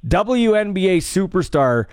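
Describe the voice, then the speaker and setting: A man's voice: high voice, sing-song, unhurried, no echo, studio quality. A man hosting a radio sports show, talking in an easygoing, casual way. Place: radio studio.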